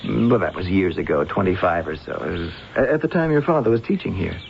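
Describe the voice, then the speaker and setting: A protective, evasive man, speaking low and gravelly in a reassuring but guarded way. It sounds like old-time radio drama, dull and slightly noisy. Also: animated, fast talker. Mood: hesitant, grave. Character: evasive, protective man calming a worried darling.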